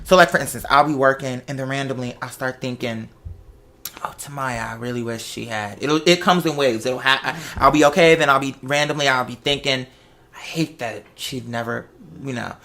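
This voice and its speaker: A casual talk host with a bright, forceful voice at a middling pitch. The delivery is conversational and animated, working through personal stories with examples and quoted asides. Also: moderate pace. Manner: animated storytelling, explains with examples and acted-out quotes.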